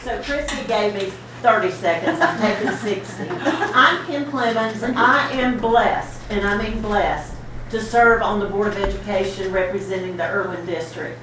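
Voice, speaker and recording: A woman's voice: mid-range voice, moderate pace. A woman on the school board giving a chatty, laughing tribute speech at an awards event. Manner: warm, chatty, laughing storyteller. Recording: echoey hall from far off, noisy.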